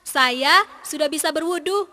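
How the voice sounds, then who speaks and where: A performer plays an eager, polite young cartoon pupil. The voice is extremely high and bright, ringing in long hall-like reverb. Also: a little slow. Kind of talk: cartoon dialogue.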